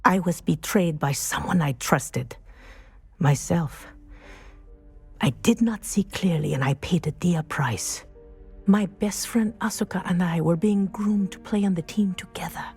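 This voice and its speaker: Dignified, low-voiced female professor. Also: husky, faint music behind, exaggerated voice. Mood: solemn regret. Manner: measured, precise, formal. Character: dignified, principled female professor haunted by past disgrace. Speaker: professor and flying teacher. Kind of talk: scripted game dialogue, storytelling.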